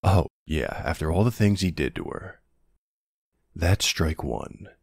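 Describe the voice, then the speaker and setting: A man playing a protective, gruff but tender older boyfriend in an ASMR audio roleplay, unhurried and plain-spoken, with a husky, gravelly voice. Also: sits low, big pitch swings, darkish tone, dry recording, studio quality, exaggerated voice. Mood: stern, threatening.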